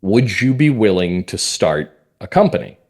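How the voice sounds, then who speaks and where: A man's voice: low-pitched, big pitch swings, normal speed, a little mellow. A middle-aged man talking at length in a conversational interview, explaining things as a guest. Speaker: guest, mystery playwright and script consultant.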